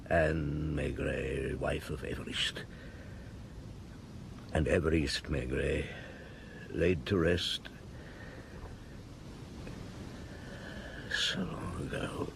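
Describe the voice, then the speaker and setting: An actor playing a reflective, probing chief inspector in an audio drama, speaking in a measured, wry, questioning way. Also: male voice, extremely low pitch, gravelly, background noise, heavily acted. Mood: somber, reflective. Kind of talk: audio drama acting and narration.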